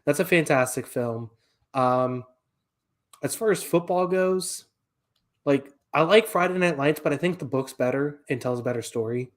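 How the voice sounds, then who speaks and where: A man in his twenties co-hosting a casual podcast, giving relaxed, dry opinions. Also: a little high, moderate pace. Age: 20s. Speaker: podcast co-host.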